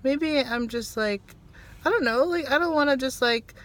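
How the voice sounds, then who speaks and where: A woman chatting casually about a film in an offhand, halting, noncommittal way. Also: high-pitched, a bit bright, a little hiss or hum. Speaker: film reviewer on a video channel. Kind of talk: casual chat.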